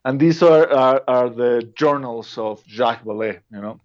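A man's voice: medium pitch, moderate pace. Mexican guy chatting on a podcast, stumbles and restarts a lot, kinda muffled lo-fi audio. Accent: Mexican Spanish. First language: Spanish. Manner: hesitant, many restarts and fillers, laughs often. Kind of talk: casual podcast conversation. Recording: dull lo-fi sound.

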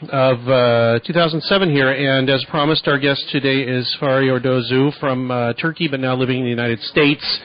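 A man hosting a radio interview show, talking casually and relaxed, with many fillers and hesitations. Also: mid-range voice, normal speed, few pauses. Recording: muffled lo-fi radio recording with faint hiss.

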